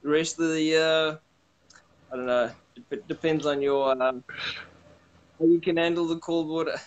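A young man with a South African accent chatting relaxed on a video call, rambling with many restarts. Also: fairly high pitch, normal speed, clean tone, rough recording. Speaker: guest. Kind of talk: casual video call chat. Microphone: webcam on video call.

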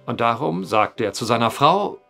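An actor playing a wry male journalist who tells an eerie old tale with deliberate phrasing in an audio drama. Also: medium pitch, animated, vocal fry, bright, forceful tone, soft background music. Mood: measured storytelling. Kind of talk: German audio drama acting, storytelling.

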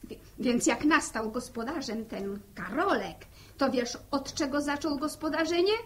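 A middle-aged farm wife in a vintage radio serial. Her voice sits high, and she is impatient and blunt, pushing into the talk with folksy emphasis. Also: animated, unhurried. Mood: explaining. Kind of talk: 1960 radio drama acting.

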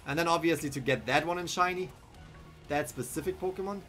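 A man's voice: fairly high pitch, a little fast, a bit bright, faint music behind. Young German-accented man streaming. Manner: chatty, thinking aloud, answers chat. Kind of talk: casual live-stream commentary. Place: small room indoors. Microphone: headset.

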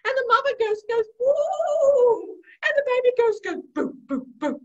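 A woman puts on a small, easily bothered spider in a storybook tale. Her voice swoops very high and whines as she pleads. The recording sounds muffled and dull. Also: sing-song, measured pace, far from the mic, heavily acted. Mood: pleading. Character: fretful little female spider, easily bothered.

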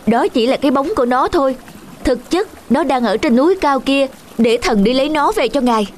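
A woman's voice: high-pitched, animated, brisk, slight background noise. A woman voicing a devoted young son in a cartoon folktale, speaking in an earnest, pleading, deferential way. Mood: earnest, respectful.

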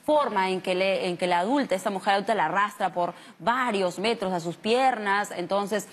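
A woman's voice: medium pitch, moderate pace. A woman in her thirties from Peru, a news anchor asking questions in a live studio interview, earnest in tone.

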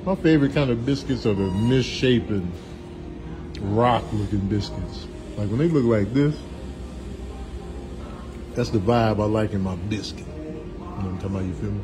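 A man giving comedic, casual commentary in an emphatic, slangy, animated manner. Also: fairly low pitch, moderate pace, pauses often, over music, poor audio. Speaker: comedian doing voiceovers and food vlogs.

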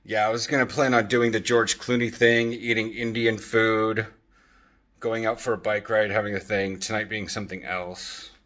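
Man in his 30s, bright voice, casual rambling vlog, jokey animated delivery, handheld phone in apartment. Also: fairly low pitch, normal speed. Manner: animated, jokey, acts out quotes. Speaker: vlogger. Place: open-plan apartment living room and kitchen. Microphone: phone at arm's length.

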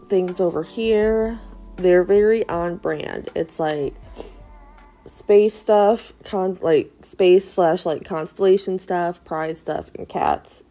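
A woman in her thirties with a stuffy nose, casually narrating a tour of a small cat cafe shop with lots of fillers. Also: fairly low pitch. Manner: chatty, filler-heavy, upbeat and easygoing. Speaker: small business vlogger. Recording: voice over loud background music.

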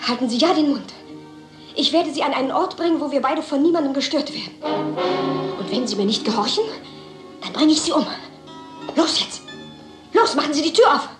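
A woman's voice: high voice, expressive, brisk, pauses often, reverberant, music in the background. An actress playing a desperate, vengeful young woman in a German crime radio play, intense and commanding. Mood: threatening.

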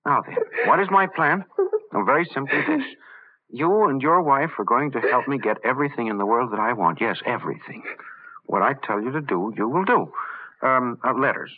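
An actor playing a smug, power-mad little man with mock-polite, halting speech in an old radio horror drama. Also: mid-range voice, expressive, narrow, tinny audio, lightly acted. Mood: gloating. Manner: mock-polite, halting, taunting tag questions. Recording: band-limited vintage radio drama recording.

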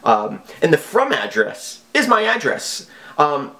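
A man chats to camera in a small home office. His delivery is lively in pitch and wryly comic, with a mock-earnest streak. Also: takes it slowly, vocal fry. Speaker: video host. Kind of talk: casual comic commentary.

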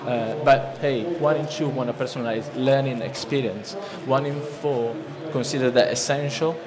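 A man's voice: medium pitch, fairly even intonation, normal speed, crowd noise. A man in his thirties with an Italian accent, giving a loose, conversational seminar talk from a lectern, full of restarts and fillers. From Italy. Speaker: conference presenter. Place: large conference hall, at a lectern. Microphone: lectern microphone. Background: listening audience.

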